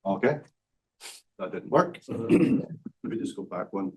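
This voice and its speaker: Scottish man pitching on stage. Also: expressive, takes it slowly, dark tone, distant mic. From Scotland. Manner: self-deprecating, many ums and uhs. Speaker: startup founder presenting. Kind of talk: live pitch presentation. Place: event stage.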